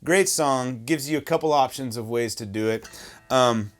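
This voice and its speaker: A man with a baritone voice teaching a worship song on guitar, talking in a relaxed, self-deprecating way full of ums and uhs. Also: normal speed. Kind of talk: casual guitar tutorial talk. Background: acoustic guitar playing.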